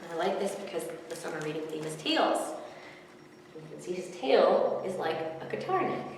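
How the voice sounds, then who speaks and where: A woman's voice: lowish voice, moderate pace. A woman in her thirties hosting a children's story time, reading expressively with casual asides. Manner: expressive, speaking to young children. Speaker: children's librarian reading picture books. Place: indoor room before a forest backdrop. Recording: echoey room, distant mic, faint noise.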